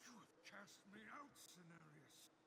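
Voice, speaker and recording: A man's voice: high-pitched, big pitch swings, a little slow, creaky, noisy background, exaggerated voice. An actor playing a proud, resentful male elf student in a video game, bitter and theatrical, drawing out his words. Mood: bitter, indignant.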